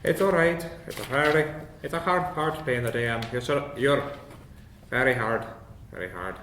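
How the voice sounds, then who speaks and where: Bright-voiced male gamer, echoey room. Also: medium pitch, normal speed. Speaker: tabletop game player. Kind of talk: casual game chat. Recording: roomy echo, clear background noise.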